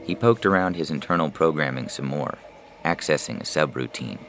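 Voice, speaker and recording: A man's voice: a bass voice, lively intonation, brisk, noisy background. A man reading scripted science fiction narration as a storyteller. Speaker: author and story reader. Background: prominent music.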